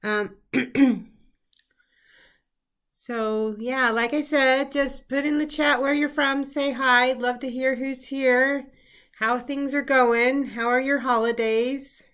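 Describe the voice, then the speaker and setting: A middle-aged woman hosting a casual live-stream chat as a quilting teacher, a little hoarse from a cold, chatty with lots of ums and throat clearing. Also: high voice, fairly even intonation, slow pace, a bit bright. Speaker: quilting teacher and show host. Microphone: computer setup, live stream.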